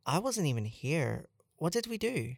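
Woman acting very low-voiced, earnest friend. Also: very animated, moderate pace, gravelly, husky, no echo, studio quality, heavily acted. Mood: upset, apologetic. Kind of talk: audio drama acting.

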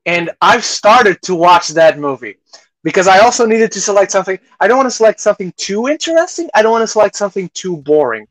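A man's voice: high-pitched, big pitch swings, fast, a bit bright, no room sound, poor audio. A man co-hosting a podcast, chatting casually about movies in a conversational, storytelling way. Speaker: podcast co-host.

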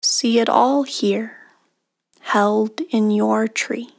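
A woman with a fairly high voice guides a meditation at an extremely slow pace. Her delivery is even and soothing, with long pauses between short phrases. The ends of her phrases soften into breath. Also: gravelly. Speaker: meditation guide, podcast host.

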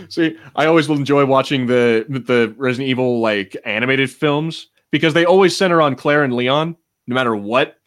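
A man's voice: mid-range voice, moderate pace, a bit bright. A man co-hosting a casual podcast, talking in a rambling, slangy and sometimes sweary way. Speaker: podcast co-host. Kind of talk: casual pop-culture chat.

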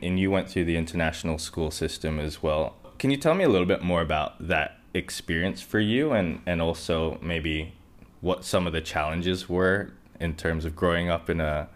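Chatty young man hosting a podcast. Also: deep voice. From Hong Kong. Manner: chatty, laughs often, upbeat. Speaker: podcast host. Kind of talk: casual podcast interview.

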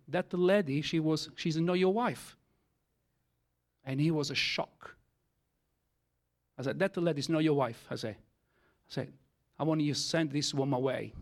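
A man whose first language is Italian, speaking English with an Italian accent as he gives a church testimony in halting speech full of fillers. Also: fairly high pitch. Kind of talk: testimony sermon.

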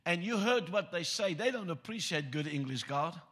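A man's voice: fairly high pitch, expressive, moderate pace, fairly bright tone, slightly rough. A man from South Africa whose first language is Afrikaans, preaching a sermon with joking asides and reading scripture aloud. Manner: joking, self-deprecating asides.

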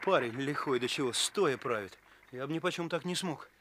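An actor playing an earnest, impatient young police chief in a radio play, speaking eagerly and insistently. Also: male voice, mid-range voice, animated, normal speed, noisy background, close to an everyday voice. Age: young adult.

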